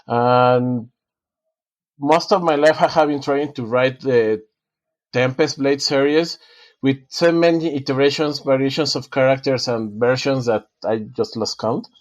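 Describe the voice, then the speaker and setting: A man whose first language is Spanish, an author being interviewed, talking in a halting way with many fillers and restarts. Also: mid-range voice, steady tone. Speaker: author guest. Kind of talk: casual interview talk.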